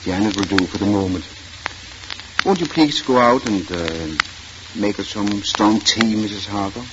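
Man playing a low-voiced, kindly firm doctor. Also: a little slow, close to an everyday voice. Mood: firm, probing. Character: kindly but firm country family doctor. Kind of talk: 1940s radio drama acting. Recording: noisy, muffled 1940s radio recording.

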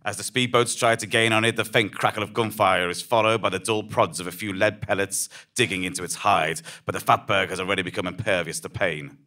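Deep, bright-voiced dramatic male storyteller. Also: steady tone, fairly quick, few pauses. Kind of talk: dramatic scripted narration of an absurd tale, read live. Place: on a small theatre stage before a seated audience. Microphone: stand microphone.

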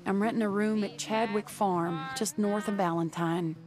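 An actress playing an anxious, genteel young frontier widow, earnest and restrained in a game cutscene. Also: female voice, medium pitch, fairly even intonation, normal speed, music in the background, close to an everyday voice. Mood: wistful. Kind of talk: dramatic video game cutscene acting.

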